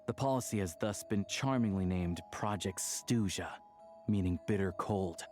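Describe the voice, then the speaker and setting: Man playing a low-voiced, wry game townsman. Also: moderate pace, a little mellow, faint music behind, lightly acted. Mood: dry, resigned. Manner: laconic, easygoing, dry. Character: wry, world-weary local townsman. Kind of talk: video game character dialogue.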